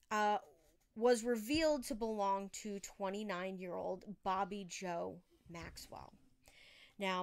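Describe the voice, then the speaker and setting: A woman hosting a true crime podcast, telling the story casually with informal, emphatic asides. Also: medium pitch.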